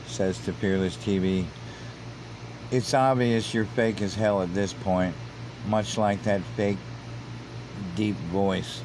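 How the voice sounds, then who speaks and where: Low-voiced man with noisy hum. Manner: repeats words and restarts, grows heated and emphatic. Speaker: commentator reading online comments. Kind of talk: casual commentary.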